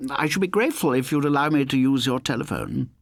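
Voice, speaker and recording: A man puts on a broad, sinister lodger for an audiobook thriller. He is husky and stiffly formal, clipped and guarded, with pitch that swings widely. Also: animated, fast, no room sound, studio quality. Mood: stiffly polite. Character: squat, broad, sinister male lodger, curt and overly formal.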